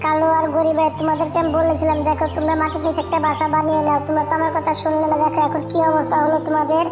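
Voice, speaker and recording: Admonishing mother bird for a children's cartoon, woman performing, high, quick delivery. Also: close to an everyday voice. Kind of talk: children's cartoon story dialogue.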